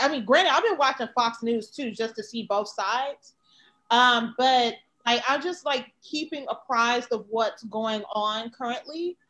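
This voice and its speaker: An American woman from Chicago chatting casually, with an expressive, informal way of talking that leans on slang and swearing. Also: fairly high pitch, rough recording. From Chicago, United States. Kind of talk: casual chat.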